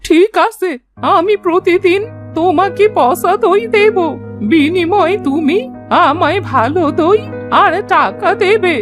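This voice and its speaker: A very high-pitched old cartoon granny, crafty and greedy for treats. She wheedles and stretches her words slowly, over prominent music. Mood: bargaining. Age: older. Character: crafty old granny who craves yogurt. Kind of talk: Bengali cartoon voice acting. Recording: loud music behind the voice.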